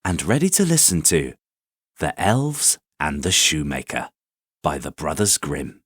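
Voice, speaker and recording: Deep-voiced man reading a bedtime story. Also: husky, dry recording, clean studio sound. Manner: soothing, unhurried, expressive reading.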